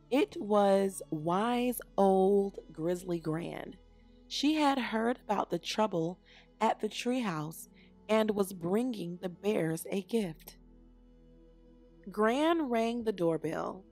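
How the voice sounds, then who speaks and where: A woman reads a children's storybook at a very slow pace. Her phrasing is deliberate and expressive, with music softly behind her. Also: mid-range voice. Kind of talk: read-aloud scripted narration.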